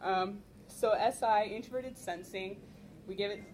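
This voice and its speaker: A woman's voice: mid-range voice, measured pace, darkish tone, slight background noise, poor audio. Young woman explaining with frequent ums. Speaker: convention panelist. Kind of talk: convention panel presentation. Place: convention panel room without microphones. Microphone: no microphone, recorded from the room.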